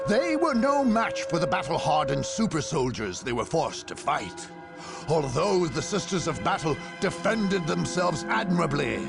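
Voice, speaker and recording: An actor playing a grave, stately war chronicler, narrating a video game in a measured, formal, theatrical manner. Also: male voice, a little high, creaky. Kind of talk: scripted dramatic game narration.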